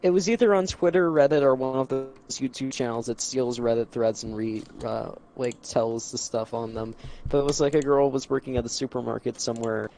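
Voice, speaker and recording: A man's voice: medium pitch, steady tone, brisk, vocal fry, dark tone, slight background noise, rough recording. A man from the United States chatting on an online voice call, telling rambling stories full of "like" and "you know". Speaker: stream co-host. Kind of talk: casual group chat on a voice call. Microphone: online voice chat mic.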